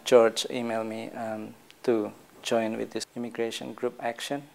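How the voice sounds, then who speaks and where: A man's voice: mid-range voice, flat delivery, normal speed, vocal fry, darkish tone. A man, answering interview questions hesitantly with many fillers. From Indonesia.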